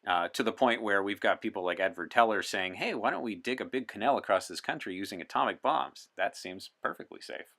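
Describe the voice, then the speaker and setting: A man chatting as an interview guest, earnest and deliberate, with playful dry humor. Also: fairly low pitch, fairly bright tone. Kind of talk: in-character interview chat as a time traveler from 1964.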